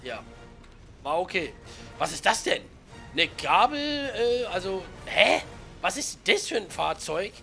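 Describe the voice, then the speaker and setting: A man in his twenties with a cold, giving chatty, slangy, excited commentary on a video game over faint music. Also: sits high, slow, creaky. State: has a cold. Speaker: gaming YouTuber.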